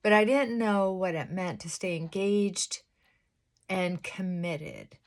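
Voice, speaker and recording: A middle-aged woman chats slowly and thoughtfully while getting ready in the morning. Her fairly high voice is held down on purpose, and she drops into soft whispered asides. She speaks in a confessional, reflective way. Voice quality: fairly high-pitched voice she works to pull down. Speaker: vlogger. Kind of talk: casual get-ready-with-me chat.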